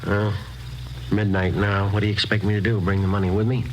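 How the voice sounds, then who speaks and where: A man playing a matter-of-fact undercover police detective in a 1950s radio crime drama, clipped and deadpan. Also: lowish voice, a little fast, a little hiss or hum, natural voice, poor audio. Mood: wary, brusque. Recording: vintage radio recording.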